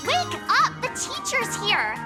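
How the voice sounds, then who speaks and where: High-pitched, playfully scheming girl streamer. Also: huge pitch swings, takes it slowly, exaggerated voice. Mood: playful, bossy. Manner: theatrical, teasing, menacing sweetness. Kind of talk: video game voice acting. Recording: music behind the voice. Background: game music.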